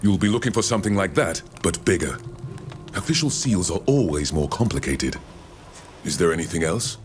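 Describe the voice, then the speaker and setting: A man playing a courteous, learned foreign scholar in a video game, speaking in measured, formal, precise phrases. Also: low voice, lively intonation, faint music behind. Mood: cautious, advising. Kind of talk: video game dialogue acting.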